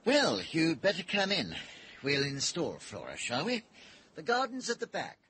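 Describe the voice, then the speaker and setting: A man's voice: a little high, wildly swooping pitch, moderate pace, pushed and bright, slightly rough, heavily acted. An actor playing a suave, courteous middle-aged man in a radio drama, polite and mildly authoritative. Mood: courteous, amused.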